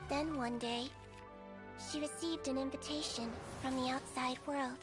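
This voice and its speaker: A small, gentle girl doctor with a very high, youthful voice. She speaks earnestly and with measured care, as a video game character. Soft music sits faintly behind her. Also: steady tone. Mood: solemn storytelling.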